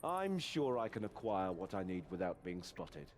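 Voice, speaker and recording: A proud knight with a low voice, earnest and honourable. He speaks in formal, resolute fantasy game style. Faint ambience runs behind him. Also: very animated, normal speed, a little hiss or hum. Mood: confident. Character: proud, honourable male knight resisting inner corruption. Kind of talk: scripted fantasy game acting.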